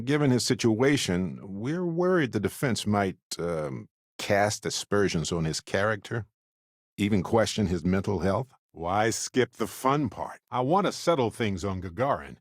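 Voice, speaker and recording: Low-voiced, wry space explorer. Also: male voice, a little slow, no echo, clean studio sound. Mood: earnest. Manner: playful, expressive, conversational, wry. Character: wry, affectionate, talkative male spacefaring explorer companion. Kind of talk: video game companion dialogue.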